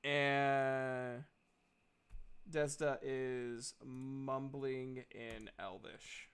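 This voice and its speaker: A man's voice: medium pitch, flat delivery, drawn-out words, fairly bright tone, clear, resonant tone. A man narrating casually as game master of a tabletop game.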